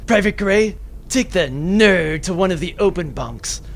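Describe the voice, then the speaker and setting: A man's voice: high-pitched, measured pace, gravelly, full character voice. A man playing a cocky, pompous macho military sergeant in a comic sci-fi audio drama. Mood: domineering. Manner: pompous, deadpan introductions.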